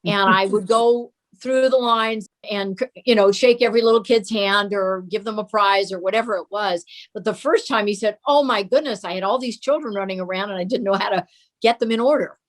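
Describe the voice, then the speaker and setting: A woman's voice: medium pitch, a little fast. An older woman, an interview guest, casually telling family memories.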